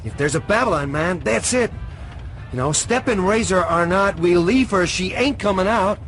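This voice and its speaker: An actor playing a cynical, desperate young hacker in a radio drama, informal, streetwise and emotionally raw. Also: male voice, sits high, lively intonation, normal speed, fairly bright tone, faint music behind. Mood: sullen, uneasy.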